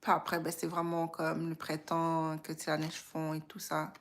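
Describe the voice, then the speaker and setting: Low creaky-voiced Québécois woman chatting. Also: completely flat delivery, normal speed. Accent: Québécois French. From Quebec, Canada. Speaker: livestream host. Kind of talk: casual reflective chat.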